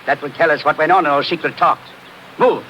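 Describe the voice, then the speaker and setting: An actor playing a menacing, scornful older spy ringleader in a 1950s radio adventure, speaking in formal commands. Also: male voice, fairly high pitch, fairly even intonation, fairly quick, bright, forceful tone, dull audio. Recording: old radio recording with faint noise.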